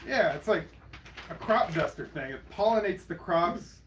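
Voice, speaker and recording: A man's voice: high-pitched, animated, unhurried. A man playing a flippant, laid-back partner in a naturalistic audio drama, speaking in a casual, wry, dismissive way. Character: laid-back, flippant partner, nervous about bee stings. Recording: faint background noise.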